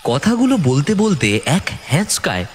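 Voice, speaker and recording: A man narrating a scripted horror story in a dramatic, suspenseful way with deliberate phrasing. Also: on the high side, big pitch swings, a little hiss or hum.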